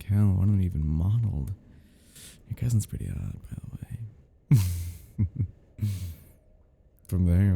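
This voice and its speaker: Man playing a husky, very dark-voiced obsessive stalker. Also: heavily acted. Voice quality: husky, gravelly low voice. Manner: teasing, drawling, breathy chuckles, drops into whispers. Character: obsessive, smug, playful male yandere crime scene cleaner stalker. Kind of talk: intimate roleplay audio monologue.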